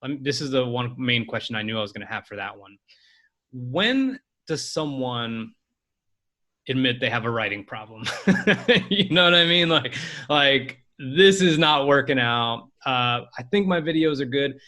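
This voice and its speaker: A man's voice: mid-range voice, expressive, moderate pace, bright, forceful tone. A man hosting a podcast interview, laid-back, asking long rambling questions. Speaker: podcast host. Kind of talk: casual interview.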